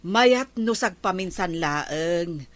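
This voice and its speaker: A woman's voice: lowish voice, animated, takes it slowly, no echo. A woman with an Ilocano accent playing an exasperated, complaining village wife in a radio comedy drama.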